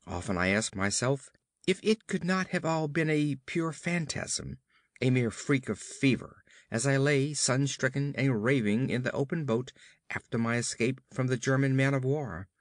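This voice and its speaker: A man's voice: medium pitch, normal speed, no echo, clean studio sound. A man reading a horror story aloud as an audiobook narrator, theatrical and dramatic, drawing out emphatic words.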